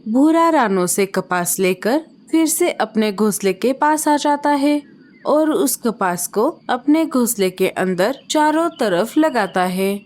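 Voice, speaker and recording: A woman narrating a children's storybook cartoon in a calm, measured voice. Her pitch sits somewhat high and her pace is unhurried. The tone is plain and steady throughout.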